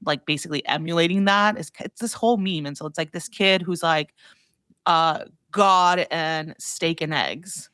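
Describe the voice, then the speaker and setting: A woman with a fairly low voice talks casually as a guest on a podcast. Her delivery is informal and rambling, full of "like" as she comments. The sound is dry, with very little room in it. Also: normal speed, a bit bright, clean tone, dry recording. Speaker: podcast guest, food trends newsletter writer.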